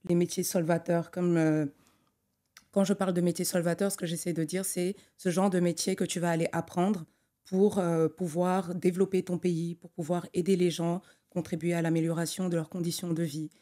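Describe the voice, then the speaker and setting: Low mellow-voiced woman architect guest. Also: level tone, normal speed. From Togo. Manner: thoughtful, many fillers and restarts. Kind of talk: conversational interview.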